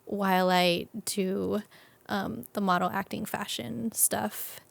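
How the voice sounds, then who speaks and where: Young woman, creaky fry, mid pitch, casual interview guest, informal phrasing. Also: fairly even intonation, slow pace. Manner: informal, thinking aloud with frequent "like". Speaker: interview guest, business owner.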